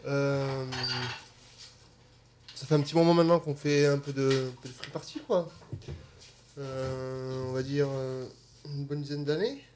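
A man's voice: medium pitch, steady tone, unhurried, long pauses, a little mellow, a little hiss or hum. A man from Occitanie, a party organizer and DJ, talking casually and hesitantly as a radio guest. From Occitanie, France. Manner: hesitant, lots of fillers, easygoing. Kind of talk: casual interview talk.

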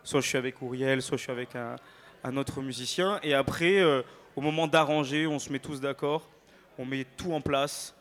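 A man's voice: medium pitch, fairly even intonation, normal speed, a bit bright. A male singer chatting casually as an interview guest on stage, informal and playful.

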